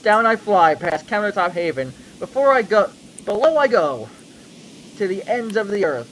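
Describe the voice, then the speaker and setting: A man's voice: high-pitched, very animated, moderate pace, fairly bright tone, a little hiss or hum, low-quality recording. A young man giving a mock-dramatic reading of a fanfic on a livestream, with a theatrical, sweeping storyteller delivery. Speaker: reader on a livestream.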